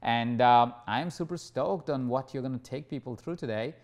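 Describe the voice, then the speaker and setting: A man's voice: mid-range voice, normal speed, a little mellow. A man hosting a coaching masterclass, speaking in a motivational teaching way, encouraging and repetitive, prompting listeners to reflect.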